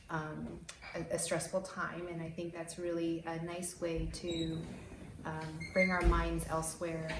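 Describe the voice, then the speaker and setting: A woman answering interview questions thoughtfully, pausing often with ums. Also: on the low side, echoey room, background noise. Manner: thoughtful, many ums and pauses.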